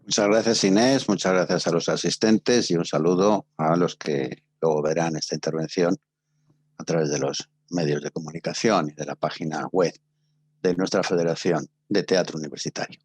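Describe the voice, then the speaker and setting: An older man with a Castilian Spanish accent speaks in a low-pitched voice with very little room sound. He lectures like a teacher, halting between short phrases as he explains things. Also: steady tone, a little mellow, breathy edge, no echo. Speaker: teacher giving a radio theatre workshop. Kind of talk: introductory lecture.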